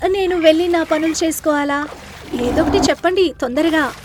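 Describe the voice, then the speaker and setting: A woman's voice: sits high, normal speed, noisy background, lightly acted. A woman voicing a clever, teasing young daughter-in-law in a moral story, with a cheeky, taunting manner.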